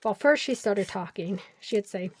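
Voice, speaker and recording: A woman's voice: medium pitch, expressive, unhurried, slightly rough, dry recording. An older woman hosting a crafting vlog, chatting and rambling through stories while she glues paper pieces. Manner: talks to herself while gluing. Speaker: crafting channel host.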